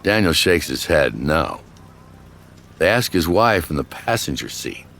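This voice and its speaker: A man's voice: extremely low pitch, sing-song, unhurried, gravelly, fairly bright tone, slight background noise. A man narrating a true-crime story in a dramatic, suspenseful scripted documentary style. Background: background music.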